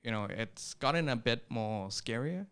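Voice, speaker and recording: A man's voice: mid-range voice, expressive, normal speed, a bit bright, smooth. A young Thai man with a faint Australian trace in his accent, a startup CEO talking casually in an interview, with many fillers and restarts. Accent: Thai with a slight Australian trace. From Thailand.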